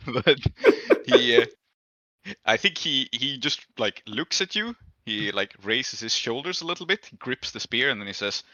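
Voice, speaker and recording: A man's voice: mid-range voice, expressive, a little slow, bright, forceful tone, dry recording, dull audio. A man improvising as game master of a tabletop game, narrating casually with an amused, chuckling manner.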